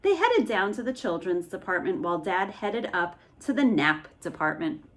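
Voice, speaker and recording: A woman reading a children's picture book aloud as an expressive bedtime story. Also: on the low side, normal speed.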